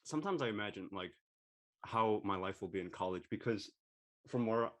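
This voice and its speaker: A teenage male actor with a fairly low voice talks casually on a group call. He sounds tentative, thinking aloud as he goes. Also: lively intonation, a little fast, a bit bright. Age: teens, high school student. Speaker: young actor in the cast. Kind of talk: casual chat.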